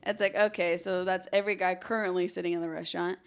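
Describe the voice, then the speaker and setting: A woman's voice: lowish voice, steady tone, quick pace, clear, resonant tone. Chatty young American woman telling true crime. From Arizona, United States. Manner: informal, chatty. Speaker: podcast host. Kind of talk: conversational true crime storytelling.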